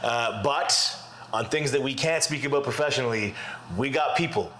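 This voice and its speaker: A laid-back male host with a bright, forceful voice. He chats loosely and jokes at his own expense. The sound carries the echo of the room. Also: medium pitch, normal speed. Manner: laid-back, laughs often, self-deprecating. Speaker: podcast host. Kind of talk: casual chat. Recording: echoey room.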